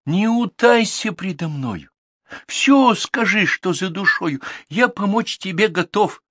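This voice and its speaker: A man's voice: medium pitch, big pitch swings, slow pace, no echo, studio quality, pitched above the performer's own voice, full character voice. A man voicing a small, kind and wise humpbacked horse comforting its friend. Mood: gentle, wise. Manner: gentle, coaxing.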